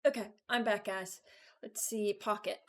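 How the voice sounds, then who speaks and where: A young adult woman giving casual, unscripted reaction commentary, hesitant and thinking out loud. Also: medium pitch, steady tone, measured pace. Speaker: video creator ranking game voice lines.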